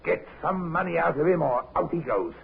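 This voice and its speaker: Through a muffled, slightly hissy old radio recording comes a suspicious innkeeper. His fairly high voice has a gravelly edge. He is blunt, colloquial and needling, in vintage radio drama style. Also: expressive, brisk, exaggerated voice. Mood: threatening. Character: grumbling, suspicious English innkeeper husband. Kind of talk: 1950s radio drama acting.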